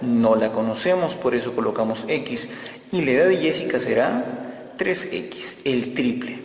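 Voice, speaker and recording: A man's voice: medium pitch, animated. A man teaching an algebra lesson step by step, repeating key phrases as he works through the problems. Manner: slow, repeats key phrases while explaining. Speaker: math teacher. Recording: echoey room with long reverb.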